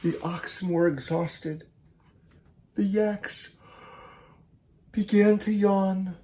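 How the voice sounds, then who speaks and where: A man, a children's author, reading a picture book aloud in an animated, theatrical and emphatic way. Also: high-pitched, very slow, a little hiss or hum. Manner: theatrical, emphatic, with varied delivery.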